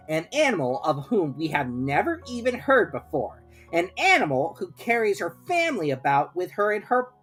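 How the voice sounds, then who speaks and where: A self-important rabbit from a children's storybook, performed by a woman, bossing everyone about. The voice is pushed up high and swings widely in pitch, with deliberate, pompous emphasis on each point. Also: slightly rough, heavily acted. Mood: indignant, lecturing. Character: Rabbit, bossy self-important male rabbit, brisk schemer.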